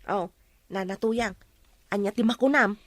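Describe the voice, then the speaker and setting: A woman's voice: mid-range voice, unhurried, dry recording, lightly acted. A woman playing an eager, gossipy village woman in a comedy radio drama, chatty and exclamatory. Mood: nosy.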